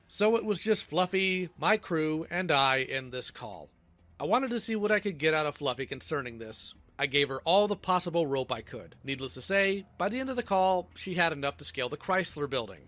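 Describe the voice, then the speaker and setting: A man's voice: a little high, fairly bright tone. A man's synthetic robot voice reading a scripted, sardonic narration. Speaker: video creator responding to allegations.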